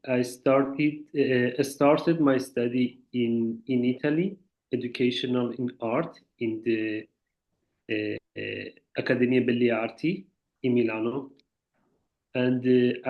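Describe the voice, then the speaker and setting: Guy giving a talk on a zoom call, halting, hunting for words, average pitch, a bit muffled. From Iran. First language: Farsi. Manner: halting, searching for words in a second language. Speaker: visiting artist. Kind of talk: informal talk over a video call. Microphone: video call. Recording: muffled video-call audio.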